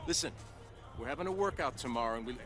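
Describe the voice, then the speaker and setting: A man's voice: mid-range voice, animated, fast, music in the background, close to an everyday voice. A man playing a chatty, encouraging pro basketball scout in a video game. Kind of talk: video game cutscene acting.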